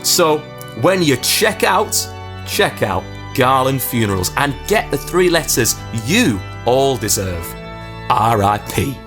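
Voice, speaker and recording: An actor playing a vain, self-satisfied 1980s funeral director delivering a pompous, joking monologue as a comic radio advert. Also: male voice, mid-range voice, big pitch swings, takes it slowly, over music. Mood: smug, jokey.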